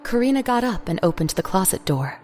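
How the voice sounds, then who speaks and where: A woman's voice: mid-range voice, very animated, brisk, darkish tone, slight background noise. A woman reading scripted third-person story narration for an audio drama. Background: music.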